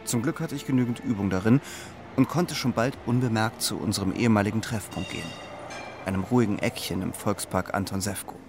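Man acting double agent, low voice, wry, laconic, confiding, radio crime drama, music underneath. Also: a little fast, close to an everyday voice.

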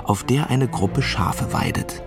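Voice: mid-range voice, takes it slowly, over music. A storyteller reading a children's tale expressively as narrator.

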